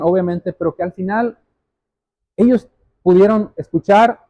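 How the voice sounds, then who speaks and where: A male pastor delivers a sermon in a high, mellow voice. He exhorts his listeners earnestly and directly, circling back to key phrases to stress them. The sound is dry, with very little room around it. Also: dry recording. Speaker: pastor preaching to a congregation.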